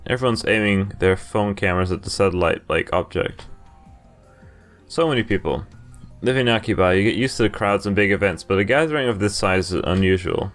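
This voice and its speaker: A man's voice: lowish voice, fairly quick, a bit bright, faint music behind. A man hosting a game playthrough, reading visual novel narration aloud and breaking off for casual commentary. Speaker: video game playthrough host.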